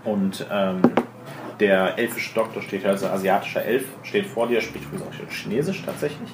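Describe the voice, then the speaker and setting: Guy game master narrating, lowish voice, kinda halting, echoey n noisy room. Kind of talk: narrating a tabletop roleplaying game. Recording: echoey room with clear background noise.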